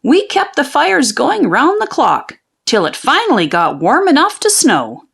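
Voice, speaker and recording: A woman narrating a children's storybook in an expressive, folksy storytelling manner. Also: sits high, measured pace, gravelly. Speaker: children's book read-aloud narrator. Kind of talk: animated storybook narration of a folksy tall tale.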